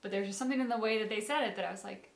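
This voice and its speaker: A woman of average pitch chats casually as co-host of a reaction show. She muses aloud and leans on her words emphatically. Her voice carries the echo of a roomy space. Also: rapid-fire, creaky. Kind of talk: casual chat, giving opinions on a story. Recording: echoey room.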